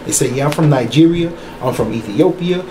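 A man's voice: fairly high pitch, a little fast. A man, a guest musician, talking loosely and at length about his album, often repeating and restarting phrases. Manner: loose, rambling, repeats himself. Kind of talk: casual podcast talk. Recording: echoey room with faint noise.

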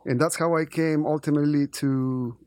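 A middle-aged man from Ecuador, telling his story in a relaxed, chatty way on a podcast. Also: a little high, moderate pace, dry recording, clean studio sound. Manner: relaxed, warm storyteller with frequent restarts. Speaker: chamber executive, guest.

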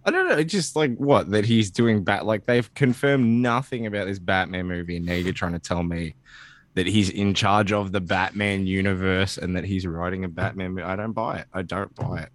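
A man's voice: deep voice, normal speed, no echo. A man co-hosting a podcast in casual comedic banter, teasing and swearing freely. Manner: profane, teasing. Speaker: podcast co-host.

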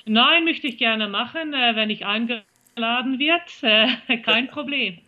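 A woman from Belgium with a Belgian accent, answering interview questions as a country singer, pausing often to search for words. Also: fairly high pitch, bright, forceful tone, muffled recording. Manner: halting, searches for German words with many fillers.

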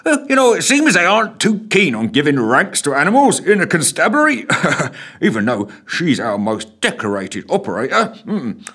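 A man's voice: sits high, sing-song, slow pace, fairly bright tone, pitched above the performer's own voice, heavily acted. A man voicing a jolly, rotund male police constable who keeps chortling and laughing as he talks.

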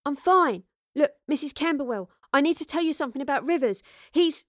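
Woman as a feisty, high-voiced British girl. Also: lively intonation, brisk, fairly bright tone, dry recording, studio quality. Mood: urgent, insistent. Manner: defiant, blunt, colloquial. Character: feisty, suspicious, blunt young working-class girl. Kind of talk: audio drama character acting.

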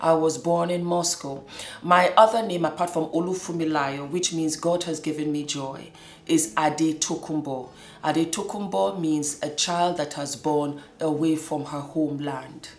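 Lively woman host in an echoey room. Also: sits low, normal speed. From Scotland. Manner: animated, laughs often. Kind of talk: casual personal monologue.

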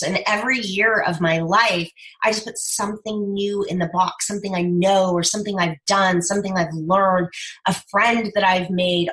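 A woman in her fifties speaks as a podcast guest, persuasive and emphatic. Her tone is bright and forceful, and she pushes her points home with lively conviction. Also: mid-range voice, low-quality recording. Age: 50s. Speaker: guest, speaker and brand coach. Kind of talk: podcast interview conversation.

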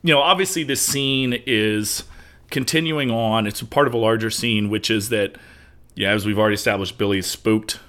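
A man's voice: lowish voice, normal speed, a bit bright. A man co-hosting a podcast, chatty and analytical, joking while he discusses a movie. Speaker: podcast co-host.

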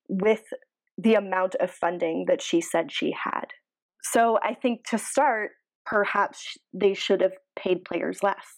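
A woman co-hosting a casual podcast, talking through analysis in a measured, explanatory way with careful qualifications. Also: medium pitch. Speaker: podcast co-host.

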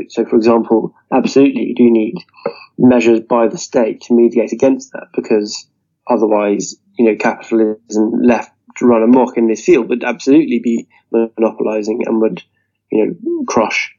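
Mellow-voiced man arguing his case. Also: mid-range voice, fairly even intonation, moderate pace. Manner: earnest, argumentative, leans on "you know". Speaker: interview guest. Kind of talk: political discussion. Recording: dull lo-fi audio.

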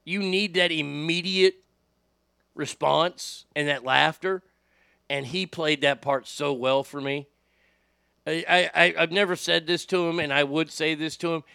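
A middle-aged radio host with a high, bright voice and a gravelly edge tells profane stories in a casual, animated way. The sound is close and dry, with no room around it. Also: male voice, unhurried, no echo. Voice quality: high voice without much bass. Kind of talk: casual storytelling podcast.

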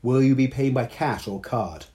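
A man's voice: mid-range voice, normal speed, dark tone, voice pushed up, close to an everyday voice. A man voicing a polite female shop cashier.

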